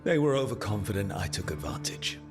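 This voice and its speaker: An actor playing an earnest young elven mage hero in a video game, speaking plainly with an inquiring manner. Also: male voice, on the low side, sing-song, fairly quick, big-room sound, over music. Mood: uneasy. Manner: plain-spoken, questioning, earnest. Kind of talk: dramatic video game dialogue.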